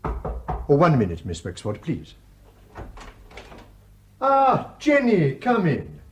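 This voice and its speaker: A man's voice: on the high side, wildly swooping pitch, lo-fi sound, slight background noise, heavily acted. A probing father. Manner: probing, measured questioning. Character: shrewd Scottish father, an inquiring man. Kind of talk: radio drama acting.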